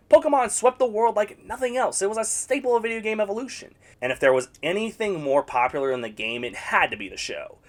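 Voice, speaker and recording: A man's voice: fairly high pitch, a bit bright. A man narrating a scripted video essay reviewing video game movies, with sarcastic, blunt opinions.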